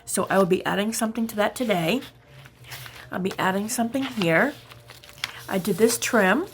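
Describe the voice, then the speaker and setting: A woman with a slightly raspy morning voice, chatting casually and haltingly as she narrates a craft show-and-tell. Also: mid-range voice, slight background noise. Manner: unhurried, chatty, halting as she shows items. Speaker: craft vlogger.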